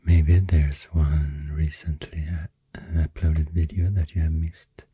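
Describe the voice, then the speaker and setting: A man with a Swedish accent rambles in soft-spoken, near-whispered ASMR, gentle and unhurried, close to the microphone. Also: flat delivery, no room sound, dull audio. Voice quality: breathy, near-whispered deep voice. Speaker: ASMR video host.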